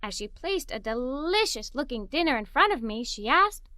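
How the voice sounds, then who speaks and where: Bright, high-pitched woman reading a children's story. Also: normal speed, no echo. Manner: expressive, wide-eyed, playful delivery. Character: cheerful, imaginative little girl adventurer. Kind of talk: animated read-aloud storytelling in a child's first person.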